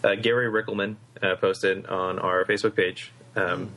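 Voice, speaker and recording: A man co-hosting a podcast, starting his sentences haltingly before finding his point. His voice sits a little low and stays casual throughout. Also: little pitch movement, sharp tone. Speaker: podcast co-host. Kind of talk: casual chat.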